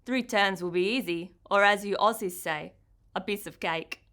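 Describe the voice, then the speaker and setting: A woman's voice: mid-range voice, moderate pace, a bit bright, close to an everyday voice. A polite, eager teenage girl from a storybook , acted out by a woman reader. She speaks with an Italian accent, choosing words with prim care and sometimes searching for the right one. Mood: helpful, tentative. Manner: prim, proper, careful speech, searching for words.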